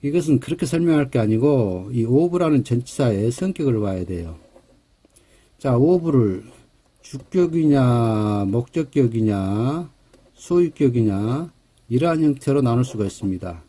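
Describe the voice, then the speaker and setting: A middle-aged man with a Jeolla Korean accent giving a relaxed English grammar lecture, pausing on fillers and correcting himself. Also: medium pitch. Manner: relaxed, slightly hesitant, with fillers and self-corrections.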